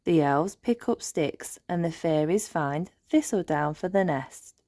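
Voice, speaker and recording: A woman narrating a children's storybook aloud in an expressive read-aloud style. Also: sits low, a little slow, no room sound, clean studio sound.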